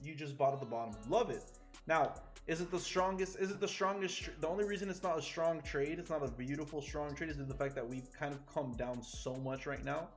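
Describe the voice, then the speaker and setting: Excitable, foul-mouthed male livestreamer. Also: on the high side, a little fast. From Chile. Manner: excitable, profane, chatty with viewers. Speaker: livestreaming forex trader. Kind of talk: casual livestream commentary. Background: faint music.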